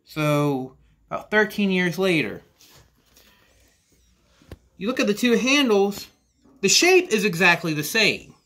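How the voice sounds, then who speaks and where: A man in his forties reviewing hand saws in a cluttered workshop, talking slowly and rambling with restarts. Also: high voice, very animated, pushed and bright. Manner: slow, rambling, with frequent restarts and "you know". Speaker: woodworking channel host. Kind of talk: casual workshop tool review. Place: cluttered indoor workshop or basement.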